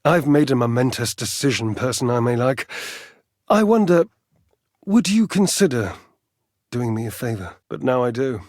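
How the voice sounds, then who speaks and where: An actor playing a flamboyant, vain fitness enthusiast in a video game, speaking grandly and melodramatically and handing out teasing pet names. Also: male voice, mid-range voice, very animated, moderate pace, long pauses, darkish tone, dry recording, studio quality, lightly acted. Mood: yearning, coaxing. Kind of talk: theatrical video game character acting.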